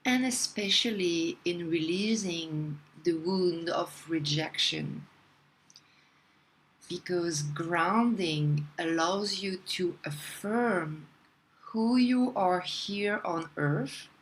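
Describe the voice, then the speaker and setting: Very slow woman guiding meditation, low-toned. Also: poor audio. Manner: soothing, slow, deliberate, with long pauses. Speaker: meditation guide. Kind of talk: guided meditation narration.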